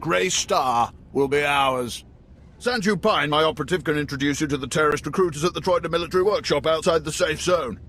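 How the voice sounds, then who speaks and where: Higher-voiced, genteel older spymaster. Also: male voice, brisk, a bit bright. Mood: confiding, assured. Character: seasoned older male Imperial intelligence station chief, genial, measured. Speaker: intelligence station chief. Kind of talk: video game dialogue acting.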